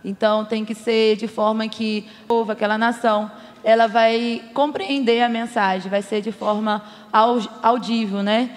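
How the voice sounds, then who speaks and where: A woman's voice: a little high, level tone, normal speed. A young woman from Rio de Janeiro state, a missionary student, speaking earnestly about her training. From Rio de Janeiro state, Brazil. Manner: earnest, repetitive explaining. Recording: echoey hall sound.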